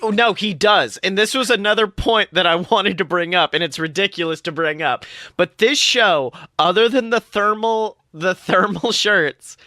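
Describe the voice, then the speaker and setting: Man, higher voice, very bright tone, casual sweary podcast chat, animated. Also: normal speed, hardly any pauses, no room sound, clean studio sound. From United States. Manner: animated, profane, slangy. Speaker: podcast co-host. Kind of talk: casual pop-culture chat.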